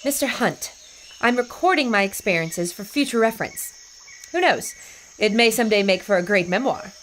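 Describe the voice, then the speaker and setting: A woman's voice: medium pitch, expressive, moderate pace, a bit bright, natural voice. A woman playing an intrepid, determined 1920s radio reporter in an old-time audio drama, with a proclaiming, plucky delivery. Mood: wry, adventurous. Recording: noisy ambience behind the voice.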